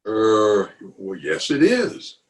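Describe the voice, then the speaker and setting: Man as a slow, blustery radio-comedy uncle. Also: lowish voice, sing-song, heavily acted. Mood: politely puzzled. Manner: blustery, hesitant, muttering. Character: blustery, grumbling middle-aged uncle, pompous but kindly. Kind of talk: 1940s radio comedy acting.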